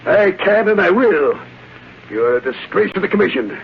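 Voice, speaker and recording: A man playing an irascible, domineering official in an old radio drama, gruff and imperious, on a tinny, noisy recording. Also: high-pitched, big pitch swings, normal speed, exaggerated voice. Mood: angry, accusing. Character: irascible, domineering male highway commissioner, blustering boss.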